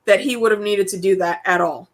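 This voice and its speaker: A woman's voice: mid-range voice, steady tone, fairly quick. A woman hosting a casual chat, talking frankly with stammered restarts. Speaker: host.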